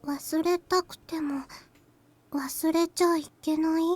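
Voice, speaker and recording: A woman voicing a gentle, earnest little girl in an anime-style visual novel game. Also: very high-pitched, steady tone, normal speed. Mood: earnest, soft.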